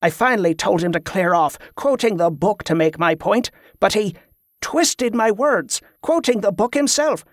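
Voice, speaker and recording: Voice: medium pitch, expressive, normal speed, no echo, clean studio sound, pitched above the performer's own voice, close to an everyday voice. A narrator voicing a frustrated, accusing zealot man in an audiobook, insistent and indignant. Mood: spiteful.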